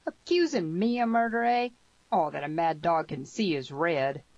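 A woman voicing a loud, defensive, hot-tempered young man in a western audiobook, outraged and emphatic, on a dull recording. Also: lowish voice, normal speed, dry recording, natural voice. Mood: scornful, outraged. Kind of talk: western audiobook character reading.

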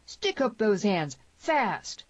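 Woman acting a menacing gunman, raised pitch. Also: big pitch swings, takes it slowly, no room sound, highs cut off. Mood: threatening. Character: menacing, hot-tempered male western gunman.

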